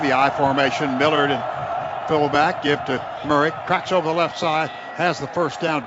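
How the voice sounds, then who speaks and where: A muffled, noisy radio broadcast of an American man doing football play-by-play. His voice sits fairly high, and he reports the action in short clipped phrases that climb as plays develop. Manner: clipped running description, rising into excited calls on big plays. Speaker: radio play-by-play announcer for the Oklahoma team. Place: outdoor football stadium broadcast.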